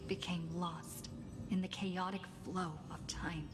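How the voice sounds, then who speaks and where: Grave young game heroine telling the story, slow n lowish, music behind. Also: female voice, level tone. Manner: measured, grave. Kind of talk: scripted video game story narration. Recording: music under the voice.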